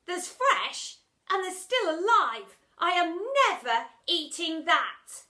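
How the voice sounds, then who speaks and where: A woman's voice: very high voice, very animated, slow pace, pushed and bright, voice pushed up, exaggerated voice. A woman voicing a cheeky, defiant schoolgirl in a children's story, indignant and emphatic.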